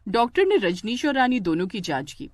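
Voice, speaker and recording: A woman's voice: fairly high pitch, sing-song, a little fast, no room sound. A woman reading scripted narration for a family drama story.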